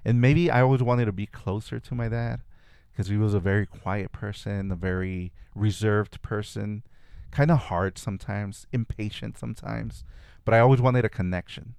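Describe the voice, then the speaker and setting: A man's voice: lowish voice, fairly even intonation, normal speed, vocal fry, a little mellow, smooth, dry recording, studio quality. A man whose first language is Spanish, a guest reflectively telling stories from his childhood in a casual conversation. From California. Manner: reflective storytelling with frequent restarts.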